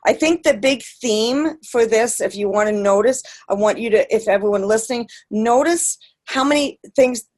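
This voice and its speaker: A woman's voice: fairly high pitch, normal speed, few pauses, a little mellow. A woman hosting a webinar, introducing her guest in a friendly, loose way with fillers. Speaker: webinar host.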